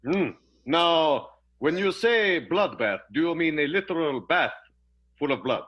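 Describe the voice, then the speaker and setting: An actor playing a fussy, solicitous husband in improvised radio melodrama, pompous, precise and over-courteous. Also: male voice, on the high side, expressive, measured pace, lo-fi sound. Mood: pedantic. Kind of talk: improvised radio play, old-time melodrama.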